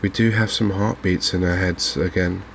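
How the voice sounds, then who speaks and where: A man murmurs calm game commentary in a soft ASMR style. His low voice barely moves in pitch and often settles into vocal fry. He keeps an understated, unhurried manner, with faint noise and ambience behind him. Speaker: gaming video host.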